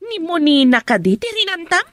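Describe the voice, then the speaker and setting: A woman's voice: very high voice, sing-song, a little fast, fairly bright tone, dry recording, studio quality, exaggerated voice. A woman acting a jealous, accusing wife in an emotional Ilocano radio drama. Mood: hurt, bitter. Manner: confrontational, emotional. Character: jealous, accusing wife confronting her husband.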